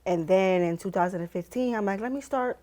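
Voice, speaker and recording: A woman's voice: medium pitch, brisk, mellow. A young woman from the Bronx, a laid-back, slangy artist answering interview questions. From the Bronx, New York. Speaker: artist, guest.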